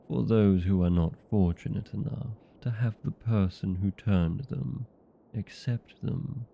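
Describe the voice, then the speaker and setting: Man playing a deep, gravelly, genteel old vampire. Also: muffled recording, exaggerated voice. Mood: earnest, reassuring. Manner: genteel, formal, unhurried. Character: courteous, proud old male vampire being interviewed. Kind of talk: scripted comedic audio roleplay.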